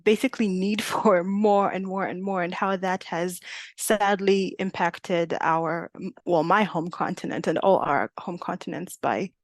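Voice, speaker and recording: A woman's voice: lowish voice, a little slow, no echo. Chatty young woman on a video call. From Uganda. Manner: chatty, many fillers and small laughs. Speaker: webinar presenter. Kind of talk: casual webinar presentation.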